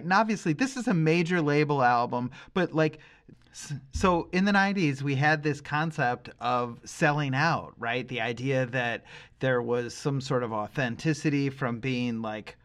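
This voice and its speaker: A man's voice: mid-range voice, fairly bright tone. A middle-aged man hosting a casual music review podcast, thinking aloud in a rambling, emphatic, opinionated way.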